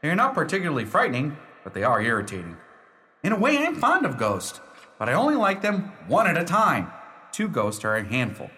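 An actor playing a droll, unruffled lawyer in a radio play, speaking in a formal, theatrical, matter-of-fact way. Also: male voice, a little high, huge pitch swings, brisk, pushed and bright, heavily acted. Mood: unruffled, dry. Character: droll, unruffled lawyer of Scottish descent who owns a haunted house. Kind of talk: radio play storytelling and dialogue. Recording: reverberant hall sound.

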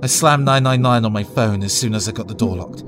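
Low-voiced man narrating horror. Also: fairly quick. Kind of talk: scripted first-person horror narration.

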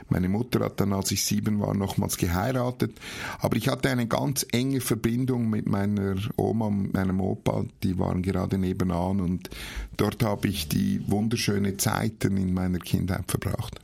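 Male interview guest, Swiss German accent, heavy creak and husky tone, halting delivery. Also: fairly low pitch, fairly even intonation, a little mellow. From Switzerland. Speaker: interview guest, theologian and author. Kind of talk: personal storytelling in an interview.

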